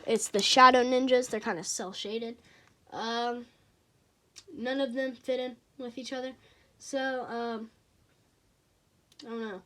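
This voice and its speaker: A teenager with a childlike voice, reviewing toy figures in a casual, hesitant way with frequent pauses and fillers. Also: fairly even intonation, sharp tone. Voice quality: childlike, high voice.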